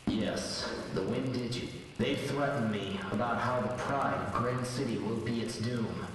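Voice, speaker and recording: An actor playing a grim, brooding vigilante haunted by the dead, in old-time radio drama style. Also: male voice, medium pitch, a little slow, natural voice. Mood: grave, pondering. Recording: noisy, distant, echoing hall-like reverb.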